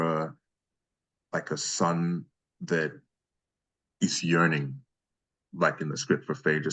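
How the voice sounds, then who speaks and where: A man speaking conversationally as an interviewed voice actor, in a reflective, halting way with emphatic repeats. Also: low voice, fairly bright tone.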